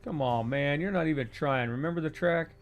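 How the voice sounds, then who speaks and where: A male narrator voicing an excitable young man who keeps saying man and dude in an audiobook. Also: a little high, fairly quick, faint music behind, lightly acted. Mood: excited.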